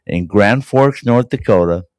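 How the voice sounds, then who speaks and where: Raspy man with a cold hosting a podcast. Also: fairly low pitch, unhurried, a little mellow, dry recording. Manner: enthusiastic, rambling with fillers and restarts. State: hoarse from a cold. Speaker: podcast host. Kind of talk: casual sports chat.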